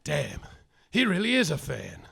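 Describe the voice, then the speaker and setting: A man narrating and acting a hardboiled detective in a live stage comedy, deadpan noir delivery with sudden yelled outbursts. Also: fairly high pitch, measured pace, vocal fry, breathy edge. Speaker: lead performer in a live comedy play, as the detective.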